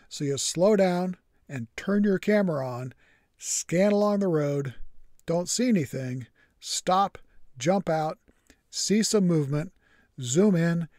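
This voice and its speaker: A man reads his camping tips over the footage in a dry, matter-of-fact way, with a wry touch of humor. His voice sits a little high for a man. The recording is close and dead, with no room sound. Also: a little mellow, no room sound. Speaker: vlogger. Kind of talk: scripted voice-over narration.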